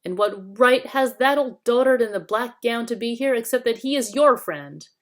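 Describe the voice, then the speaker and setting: A woman's voice: a little high, expressive, normal speed, pitched above the performer's own voice, close to an everyday voice. A woman voicing a bitter, quarrelsome male dwarf rebel with a scornful manner, in a storybook reading. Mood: sneering.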